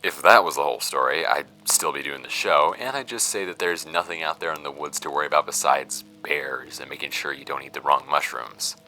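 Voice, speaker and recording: A man's voice: very deep voice, fairly quick, hardly any pauses, pushed and bright, a little hiss or hum, full character voice, poor audio. An American man voicing the dry, world-weary memoir of a jaded woman who hunted monsters on television, in an audio drama. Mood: dry, confessional. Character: jaded woman TV bigfoot hunter reading her confessional memoir. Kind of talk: first-person memoir narration.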